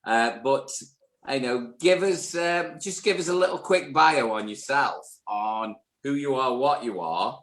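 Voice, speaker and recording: A man's voice: medium pitch, unhurried. British man hosting in an echoey room. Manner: warm welcoming intro with fillers. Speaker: podcast host. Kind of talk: casual podcast interview.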